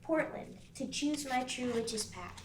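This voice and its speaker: An earnest, excitable teenage girl witch from an animated pilot, voiced by a woman at a table read. Her voice is high and lively, with a wide pitch range. The sound is roomy and distant from the mic. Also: slow pace, slight background noise. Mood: defensive.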